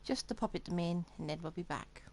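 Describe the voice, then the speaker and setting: A woman's voice: fairly low pitch, brisk, background noise. A woman giving casual, blunt and flippant live reactions while streaming a video game.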